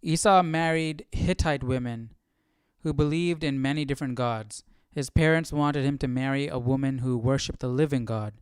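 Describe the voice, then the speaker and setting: Male study host: casual, musing, smooth mid-pitched voice, close dry sound. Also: moderate pace, dry recording. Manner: musing, questioning, thinking aloud. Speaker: host of Bible study stream. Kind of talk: casual chat, reading and commenting.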